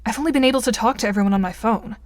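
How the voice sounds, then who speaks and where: A woman's voice: fairly high pitch, racing, no echo, studio quality, natural voice. A woman playing a straightforward, skeptical but patient girl gamer in an audio drama, with a composed, questioning manner.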